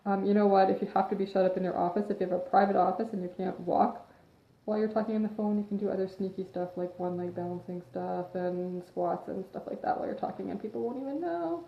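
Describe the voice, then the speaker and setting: A woman's voice: medium pitch, fast, creaky. A woman fitness coach chatting through a live video, rambling into tangents and a little sniffly from allergies. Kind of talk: casual chat, advice monologue. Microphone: phone or webcam livestream. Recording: echoey room, dull lo-fi sound.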